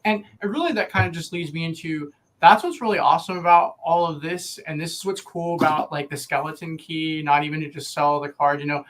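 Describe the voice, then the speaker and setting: Male co-host, slightly high pitched, enthusiastic, casual promotional conversation. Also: brisk, fairly bright tone.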